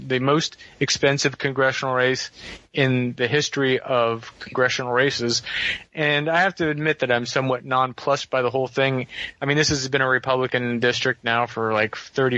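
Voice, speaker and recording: A man's voice: medium pitch, pushed and bright, no echo. A man giving rambling, sardonic political commentary on a talk radio show. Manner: wry, rambling, sardonic asides. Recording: dull lo-fi radio audio.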